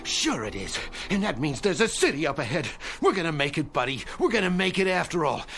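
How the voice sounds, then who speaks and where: Animated, sly, streetwise crook. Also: male voice, a little high, fairly quick, vocal fry, heavily acted. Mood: excited hope. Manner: colloquial tough-guy talk, needling, drawling taunts. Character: streetwise male crook, sly, mocking, greedy and cocky. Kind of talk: radio drama acting. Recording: music under the voice.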